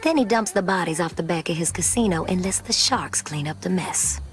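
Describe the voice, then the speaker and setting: A woman's voice: fairly low pitch, brisk, soft background music, natural voice. An actress playing a tough, sly, street-wise woman who runs a bar, wry and knowing, in a video game. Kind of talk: video game cutscene acting.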